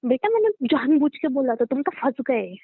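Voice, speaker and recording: A woman's voice: sits high, big pitch swings, normal speed, breathy edge, no room sound. A young woman bantering casually as a girlfriend on a phone call, sassy, curt and mocking. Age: young adult. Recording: dry, narrow tinny phone-line sound.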